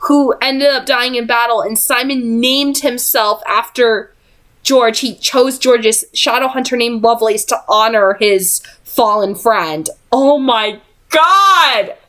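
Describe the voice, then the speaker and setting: A woman reading a novel aloud, with excitable, giggly chatty asides. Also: on the high side, takes it slowly. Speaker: amateur reader of a novel aloud. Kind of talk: book read-aloud with chatty reactions.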